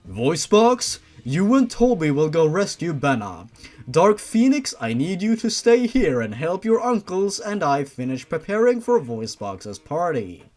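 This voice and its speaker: A man voicing a stern, protective supernatural father in a read-aloud story, formal and measured. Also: on the high side, big pitch swings, darkish tone, faint music behind. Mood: stern, instructing. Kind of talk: story reading in character.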